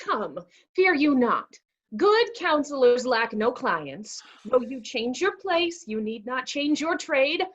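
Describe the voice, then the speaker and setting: Woman as a high-voiced cheeky tapster. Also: sing-song, slow pace, fairly bright tone, full character voice. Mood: jaunty, consoling. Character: cheeky, streetwise male tapster servant to a bawd. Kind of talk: staged reading of Shakespeare comedy.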